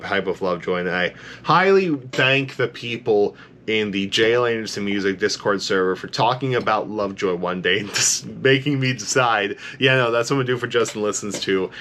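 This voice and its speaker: A man with a low bass voice giving an enthusiastic, rambling reaction to a song, full of "like" fillers, tired late at night. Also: moderate pace, hardly any pauses, pushed and bright, a little hiss or hum. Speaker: music reaction YouTuber. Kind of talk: casual reaction commentary.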